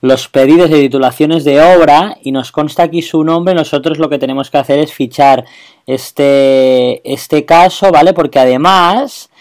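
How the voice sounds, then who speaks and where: A man with a Spanish accent on a prank phone call. He puts on an officious, brisk manner, as if he were a stern official. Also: a little high, a little mellow. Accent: Spain. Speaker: prank caller.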